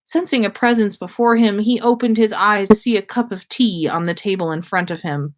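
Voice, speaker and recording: A woman's voice: mid-range voice, moderate pace. A woman reading fan fiction aloud as a podcast host, stumbling slightly over words and acting out the dialogue. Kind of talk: story read aloud on a livestream.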